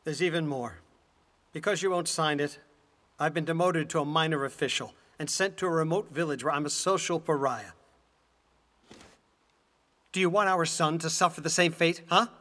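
Man doing an angry-edged demanding dad, stage play, sharp tense voice, echoey hall. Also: fairly high pitch, close to an everyday voice. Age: middle-aged. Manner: forceful, reproachful. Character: bitter middle-aged husband and father, demanding. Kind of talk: stage drama acting, English dub.

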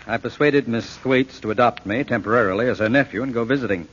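A man acting a genial, gentlemanly detective in a 1940s radio drama, polite and dryly courteous. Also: medium pitch, fast, slightly rough, natural voice. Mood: genial, determined. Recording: dull, muffled vintage radio recording.

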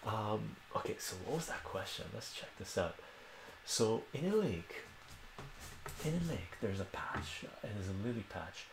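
Middle-aged man with a deep, gravelly voice, talking through a math problem in a relaxed, chatty way and checking in with "right?" after each step. He's recorded on a webcam mic in a small room that rings with echo. A faint hum sits under his voice. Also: unhurried. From Iran. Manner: chatty, repeats phrases and tags "right?" often. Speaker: math streamer. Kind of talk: casual live math lesson at a whiteboard. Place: small home room with bookshelves.

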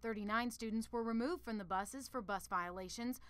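A woman's voice: a little high, moderate pace, clean tone, dry recording. A woman news reporter reading scripted narration for a television report.

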